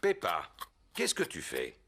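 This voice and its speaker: A man's voice: low voice, wildly swooping pitch, a bit bright, husky, exaggerated voice. A man voicing a big, jovial, affectionate daddy pig in a preschool cartoon, fatherly and drawn out. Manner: chuckling, drawn-out fatherly delivery.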